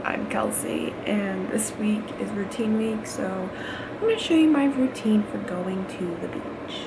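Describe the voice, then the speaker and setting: A woman, chatting casually and haltingly to a camera in an offhand vlog style. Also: mid-range voice, background noise. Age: 20s. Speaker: vlogger. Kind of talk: casual beach-routine vlog chat. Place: small bedroom, indoors. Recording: loud music behind the voice. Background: loud music.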